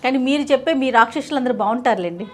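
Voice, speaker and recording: A woman's voice: sits high, fast talker, faint music behind. A woman co-host chatting casually, agreeing and echoing the person she listens to. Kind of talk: casual chat.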